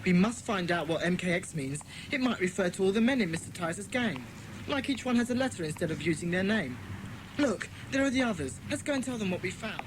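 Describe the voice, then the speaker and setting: A woman's voice: medium pitch, lively intonation, a little fast, noisy background, lightly acted. A woman playing an earnest, decisive boy who leads child detectives in a dramatised children's audiobook. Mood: earnest, deducing. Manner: decisive, instructing.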